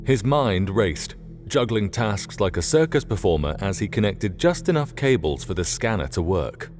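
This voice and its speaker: A man's voice: fairly low pitch, sing-song, normal speed, a little mellow, soft background music. A man reading scripted fiction as an audiobook narrator.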